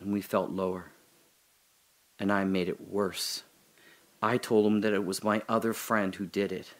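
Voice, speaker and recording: An actor playing a guilt-ridden trapped man in an audio drama monologue, halting and intense, confessing and pleading. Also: on the low side, little pitch movement, natural voice. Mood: guilty, hushed. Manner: halting, intense, swinging from hushed confession to anguished cries.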